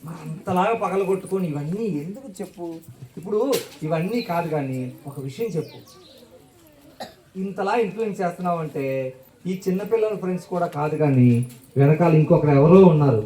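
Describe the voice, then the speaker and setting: A man's voice: on the high side, brisk, long pauses, warm tone. Man, children's show host, exclaiming banter, repeats answers as questions, roomy echo, distant mic. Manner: exclaiming, questioning, echoing replies back. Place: indoor church hall. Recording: echoey hall, distant from microphone.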